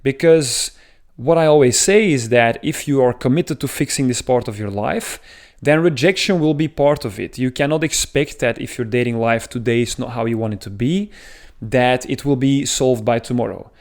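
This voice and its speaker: A man with a thick Belgian accent speaks straight to the viewer in an advice video. He sounds earnest and explanatory, leaning on frequent little filler sounds. His pitch sits in the ordinary middle range for a man. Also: moderate pace. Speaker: dating coach. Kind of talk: talking-head advice video.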